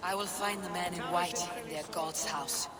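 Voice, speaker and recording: A woman's voice: on the low side, fairly even intonation, normal speed, a loud crowd, lightly acted. An actress voicing a bold, irreverent pagan Viking warrior woman in a video game, speaking bluntly, dryly and defiantly. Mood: measured, resolute. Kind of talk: dramatic video game acting.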